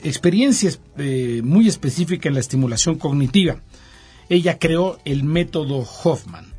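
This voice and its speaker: A man's voice: a little high, a little mellow, husky. A man hosting a TV talk show, joking with his co-host and formally introducing a guest.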